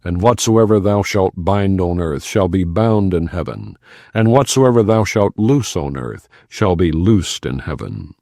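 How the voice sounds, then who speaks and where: Deep-voiced man reading scripture solemnly. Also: expressive, a little mellow, no room sound, clean studio sound. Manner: measured, solemn reading of archaic verse. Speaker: audiobook narrator.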